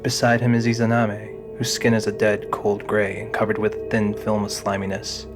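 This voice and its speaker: Low-voiced male narrator over music. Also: monotone, normal speed. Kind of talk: scripted audio drama narration. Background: music under the narration.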